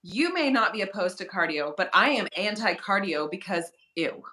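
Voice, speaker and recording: A woman in her thirties chatting as co-host, telling animated stories and acting out her own thoughts. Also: mid-range voice, moderate pace. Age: 30s. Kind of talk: casual chat.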